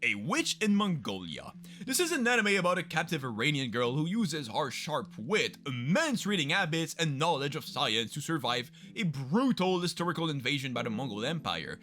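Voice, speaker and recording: A young man gives sarcastic, mock-dramatic commentary on the new anime season. His tone is bright, he keeps his voice a little high, and his pitch swings widely. Soft music plays faintly underneath. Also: big pitch swings, moderate pace. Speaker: YouTube host.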